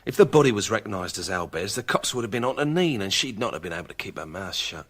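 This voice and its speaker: An actor playing an uneasy, hesitant criminal under questioning in a British radio drama, halting and anxious. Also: male voice, lowish voice, lively intonation, a bit bright. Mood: uneasy, defensive.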